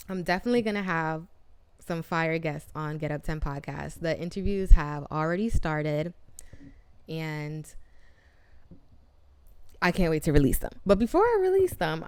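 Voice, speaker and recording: A woman's voice: fairly low pitch, smooth, no echo. A woman in her twenties hosting her own podcast solo, chatty and upbeat, laughing at herself and using casual slang. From half Puerto Rican. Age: 20s. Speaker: podcast host.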